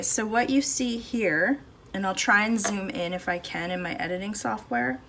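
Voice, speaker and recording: A woman talks her way through a painting session in a relaxed, thinking-aloud manner, her voice neither high nor low. She is recorded on her phone in a home studio, with a faint hum of cars and a fridge under her voice. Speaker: art channel host. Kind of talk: casual painting demo chat. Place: home art studio, indoors. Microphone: phone camera above the table, no external mic. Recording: phone audio with faint background noise. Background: faint street and household noise.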